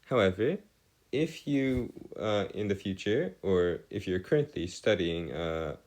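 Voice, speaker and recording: Male tutor, low register, very varied intonation, formal and halting, explaining as he works. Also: expressive, a little mellow. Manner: formal wording, halting, self-correcting. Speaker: math tutor. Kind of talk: improvised explanatory lesson at a digital whiteboard.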